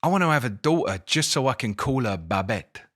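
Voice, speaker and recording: An English man giving a casual spoken book review, expressive, with dramatic emphasis and incredulous questioning. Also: medium pitch, a little fast. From England.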